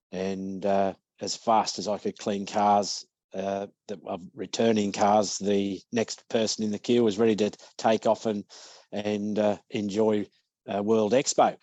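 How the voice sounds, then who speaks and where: A man's voice: on the low side, dark tone, no room sound. Joking Australian businessman in his sixties. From Australia (Victoria). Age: 60s. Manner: anecdotal, jokey storyteller. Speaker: business owner and guest. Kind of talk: casual interview storytelling.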